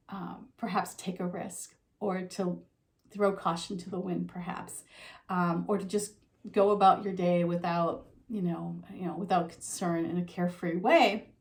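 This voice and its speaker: A middle-aged woman giving a relaxed, talking tarot reading to her viewers, her voice a little froggy from a slight sore throat, with frequent ums and you-knows. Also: medium pitch. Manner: soft conversational, with frequent um and you know. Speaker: tarot reader.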